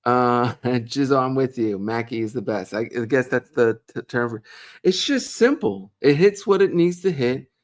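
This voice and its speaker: A middle-aged man co-hosting a casual live Q&A, chatting easily and reading viewer comments aloud with a joking, relaxed manner. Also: mid-range voice, moderate pace. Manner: chatty, jokey, reads viewer questions aloud.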